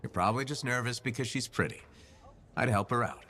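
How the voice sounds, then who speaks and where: An actor playing a laconic, steady freelance soldier in a video game, with a dry, deadpan manner. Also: male voice, mid-range voice, a little fast, natural voice. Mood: dry, wry. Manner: deadpan, brief replies.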